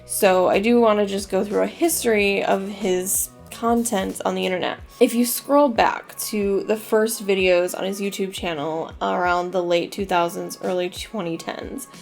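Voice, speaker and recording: Chatty woman with a creaky voice. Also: mid-range voice, a little mellow. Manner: chatty, self-deprecating, laughs often. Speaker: YouTube host. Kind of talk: commentary video narration.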